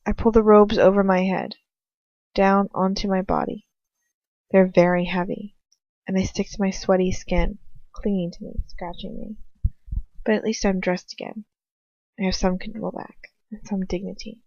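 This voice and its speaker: Woman reader, first-person fan fiction, gravelly, dry, lo-fi home mic. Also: medium pitch, flat delivery, normal speed, long pauses, no echo. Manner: quiet, introspective first-person reading with occasional stumbles.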